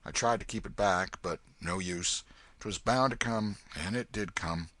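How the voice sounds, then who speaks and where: Bir adamın oynadığı gür sesli, iyi yürekli yaşlı çiftçi. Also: male voice, sits low, measured pace, dry recording. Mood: hearty. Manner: loud, hearty and commanding. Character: hearty old Welsh farmer, bluff and kindly.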